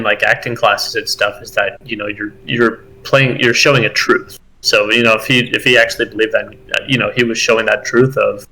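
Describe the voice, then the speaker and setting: A man co-hosting a casual chat podcast, talking in a rambling, animated way with many fillers and restarts. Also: mid-range voice, rough recording. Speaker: podcast co-host.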